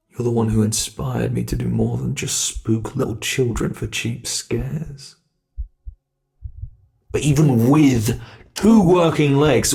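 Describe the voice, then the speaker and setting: A man with a British accent voicing a sadistic monster that hides under the bed, in an ASMR horror roleplay, talking slowly and slipping into menacing whispers. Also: medium pitch, vocal fry, darkish tone. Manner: slow, gloating, menacing, drops into whispers. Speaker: voice actor.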